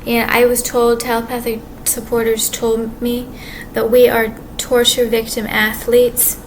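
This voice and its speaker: Woman, soft quiet voice, slightly high, halting casual vlog talk; audible background ambience. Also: completely flat delivery, poor audio. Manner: rambling, halting, many restarts and fillers. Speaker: vlogger. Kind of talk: casual monologue to camera. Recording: clear background ambience noise.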